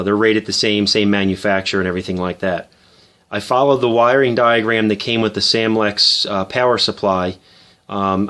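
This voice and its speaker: A man's voice: lowish voice, fairly bright tone. A man giving a casual, unscripted hobbyist demonstration in a methodical, matter-of-fact, explanatory way. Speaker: hobbyist presenter showing a homemade radio power box.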